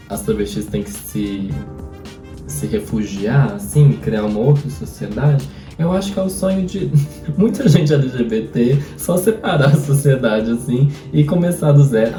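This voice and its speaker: Mellow Brazilian man in a roomy space. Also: medium pitch, unhurried. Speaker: host of a book discussion. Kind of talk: casual chat about books. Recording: roomy echo, distant mic, faint music.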